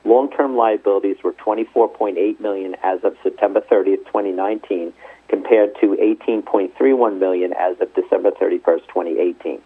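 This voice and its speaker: Guy with a low husky voice reading company results all formal over a tinny phone line. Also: steady tone, brisk. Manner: formal, reading figures methodically. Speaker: company finance executive presenting results. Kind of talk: scripted earnings report read aloud. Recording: muffled narrow phone conference line.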